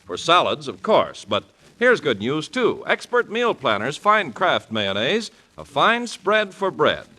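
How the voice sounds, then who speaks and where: A man delivers 1940s radio advertising in an American accent. His voice is bright and forceful, with very wide, swooping intonation. The delivery is polished and salesmanlike, as befits a program announcer. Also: on the high side, sing-song, unhurried.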